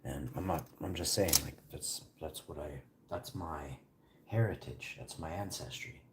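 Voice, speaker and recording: A man's voice: very deep voice, a little slow, very noisy. A man live-streaming, chatting casually with his viewers and answering their questions between bites of food.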